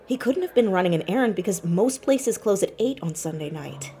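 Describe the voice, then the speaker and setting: Teen girl detective actress, inquisitive and dry, natural audio-drama delivery, very animated intonation, faint ambience behind. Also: medium pitch, a little fast, slight background noise, lightly acted. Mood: pensive, probing. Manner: inquisitive, dry, hesitant on personal matters. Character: Max, curious sharp-witted teenage girl sleuth, orphaned.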